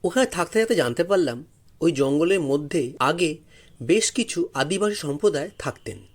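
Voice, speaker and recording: Male storyteller, slightly high voice, very animated dramatic narration, music underneath. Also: moderate pace. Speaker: storyteller, channel host.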